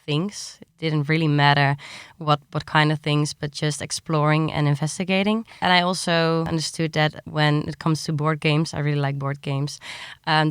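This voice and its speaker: Female interviewee, clear crisp voice, explanatory and conversational, very dry sound. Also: sits low, no echo. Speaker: science journalist, interviewee.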